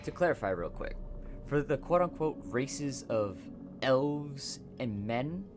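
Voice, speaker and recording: A man's voice: mid-range voice, lively intonation, slow. A man casually commenting on a game he plays, rambling with hesitant fillers and laughter, game music behind. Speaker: gameplay commentator. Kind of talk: casual let's play commentary.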